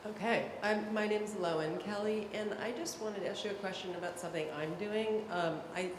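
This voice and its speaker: A woman in the audience asking a question in a halting, hesitant way. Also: mid-range voice. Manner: halting, hesitant, many restarts. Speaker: audience member. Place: large hall at a public event. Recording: echoey hall, audible room noise.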